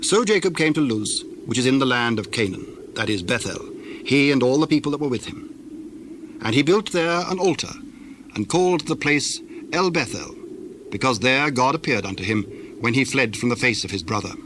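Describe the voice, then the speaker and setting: Formal male scripture narrator, mid-pitched. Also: animated, noisy background. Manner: formal, measured storytelling.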